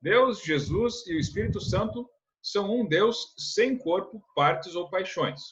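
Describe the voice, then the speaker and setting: A man's voice: sits high, animated, a little slow, fairly bright tone, lo-fi sound. Earnest man teaching a church class. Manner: earnest, rhetorical questions with frequent "né?". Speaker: religious instructor.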